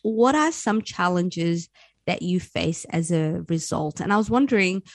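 A woman's voice: on the low side, animated, measured pace, no room sound. Woman interviewer in a dry-sounding recording. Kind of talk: conversational radio interview.

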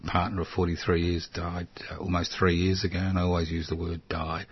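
Older man, radio host, very deep, husky, dull lo-fi sound. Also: brisk, no room sound. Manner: chatty, jokey interviewer with lively rises. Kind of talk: casual radio chat.

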